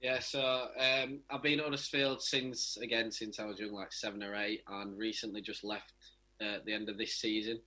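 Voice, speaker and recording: A man's voice: lowish voice, flat delivery, moderate pace, bright, forceful tone. A young man, a footballer, giving casual answers in a radio interview. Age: young adult.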